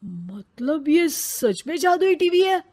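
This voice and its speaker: A woman voicing an excitable, informal householder amazed by magic in an animated moral story. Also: high voice, slow, voice pushed up, heavily acted. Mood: amazed, excited.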